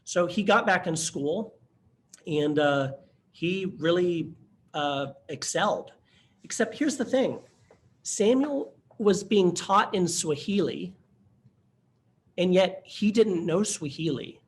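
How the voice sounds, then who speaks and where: Earnest male presenter on a computer mic, slightly high voice, conversational with fillers, faint background ambience. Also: animated, slow pace, a little hiss or hum. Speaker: workshop presenter. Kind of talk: webinar storytelling to instructors.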